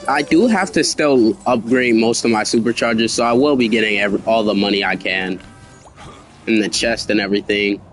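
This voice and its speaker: A man's voice: fairly low pitch, brisk, a bit bright, faint music behind. Laid-back sniffly male streamer. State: slightly sick, sniffling. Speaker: gaming streamer. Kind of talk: casual gameplay commentary.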